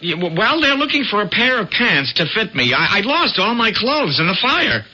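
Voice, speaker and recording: An actor playing a hapless, scheming young newspaper reporter in an old-time radio drama, eager, flustered and stammering. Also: male voice, high-pitched, very animated, moderate pace, full character voice. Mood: earnest, flustered. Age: young adult. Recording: muffled radio broadcast, loud noise behind.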